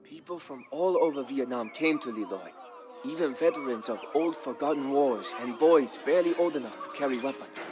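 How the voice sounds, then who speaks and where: A man with a medium-pitched voice narrates a dramatic historical tale. He tells it in a measured, solemn way, like a scripted game storyline. Clear background music runs behind his voice. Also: expressive.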